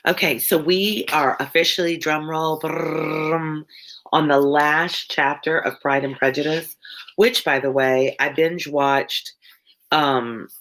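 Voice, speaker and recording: A woman's voice: sits low, a bit bright, low-quality recording. A woman with a Louisiana Southern accent and a congested, wheezy chest, reading a novel aloud and chatting with viewers as an online tutor. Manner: chatty, stops often to explain words and answer chat. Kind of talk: casual live-stream read-along with chat.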